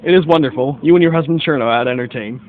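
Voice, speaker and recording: A man playing a brisk, formal, self-assured private detective in a radio mystery. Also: on the high side, phone-quality sound, close to an everyday voice. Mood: polite, brisk. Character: brisk, observant American gentleman private detective. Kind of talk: radio mystery play acting.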